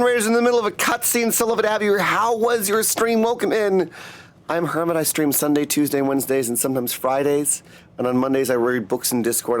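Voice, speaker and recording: A man livestreaming a game, chatting casually and greeting viewers as they drop in. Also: on the high side.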